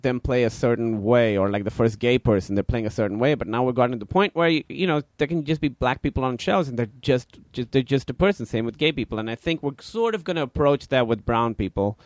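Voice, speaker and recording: Man, Pakistani accent, thirties, loose rambling podcast talk, mid-pitched, dry close mic. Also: quick pace, hardly any pauses, no echo. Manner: rambling, lots of "like" and restarts. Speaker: podcast guest, comedian. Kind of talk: casual interview chat.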